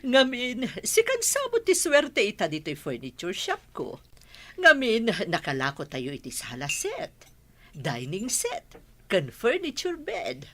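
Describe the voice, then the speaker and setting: Shop-owner mother, TV drama; Ilocano accent, unhurried, lively intonation. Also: mid-range voice, a little hiss or hum, heavily acted. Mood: cheerful, chatty. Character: firm, exasperated mother who owns a furniture shop. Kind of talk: Ilocano television drama acting.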